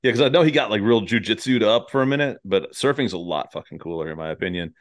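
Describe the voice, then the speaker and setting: Middle-aged American man, lowish register, blunt, profane, slang-heavy conversational style. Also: lively intonation, quick pace. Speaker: podcast conversationalist. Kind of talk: casual chat.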